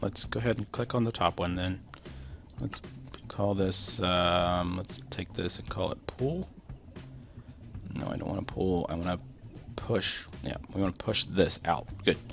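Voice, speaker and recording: A man's voice: deep voice, unhurried, vocal fry, fairly bright tone, a little hiss or hum. A man with a stuffy nose from a cold, casually talking through his game with loose, rambling explanations and lots of fillers. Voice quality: nasal. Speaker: gameplay commentator. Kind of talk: casual game walkthrough commentary.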